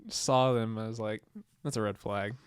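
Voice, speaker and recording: A man co-hosting a casual podcast, joking around and talking in halting bursts full of fillers. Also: lowish voice, steady tone, clean tone. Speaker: podcast co-host. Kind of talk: casual chat.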